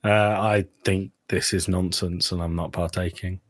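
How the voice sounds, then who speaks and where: A man's voice: sits low, level tone, moderate pace, slight background noise. A man co-hosting a podcast with casual, bantering interjections. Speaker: podcast co-host.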